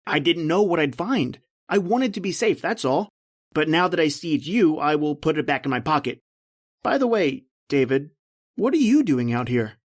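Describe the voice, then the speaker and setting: Actor playing a wary, probing estate executor. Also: male voice, a little high, expressive, moderate pace. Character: male estate executor, armed, wary and questioning. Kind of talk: old-time radio horror acting.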